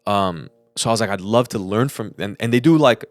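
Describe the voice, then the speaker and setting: A young American man with a fairly low voice, recorded dry and close. He tells an anecdote in loose, casual podcast style, playing out both sides of conversations as a co-host would. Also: a little fast, dry recording, faint music behind. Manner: animated retelling with acted-out quotes.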